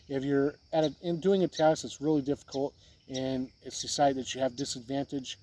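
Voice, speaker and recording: A man giving a casual, unscripted review as a video host, plain-spoken with halting phrasing, with wind on the recording. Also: medium pitch, darkish tone. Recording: wind noise on the mic.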